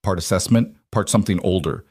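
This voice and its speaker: A man reading scripted suspense fiction as an audiobook narrator, in a measured, deliberate manner. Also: low-pitched, a little mellow, breathy edge, dry recording, studio quality.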